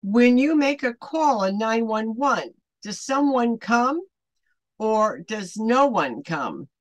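Older woman, slow, animated devotional teaching. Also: a little high, dry recording. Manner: earnest, deliberate, emphatic questioning. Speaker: online Bible study host. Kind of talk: devotional teaching to camera, with pointed questions. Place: small home room, indoors.

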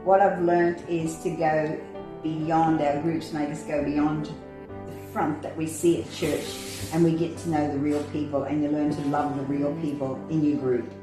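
Low-voiced older woman, echoey room. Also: level tone, a little slow. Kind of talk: personal testimony. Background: background music.

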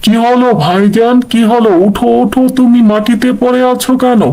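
A man's voice: very high-pitched, level tone, moderate pace, a little mellow, poor audio. A man playing a simple, dutiful but spirited younger brother in a cartoon dub, earnest and theatrical. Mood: concerned, indignant.

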